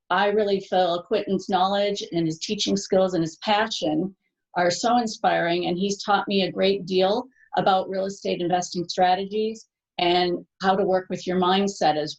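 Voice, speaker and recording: Woman hosting, slightly dull sound. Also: mid-range voice. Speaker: interview host. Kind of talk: informal guest introduction.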